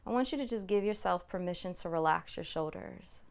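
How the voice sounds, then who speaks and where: A woman with a lowish, smooth voice that settles into a noticeable creak guides a body scan meditation. She is soothing and unhurried, leaving long pauses between phrases. Her manner is gently permissive and reassuring. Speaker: meditation instructor.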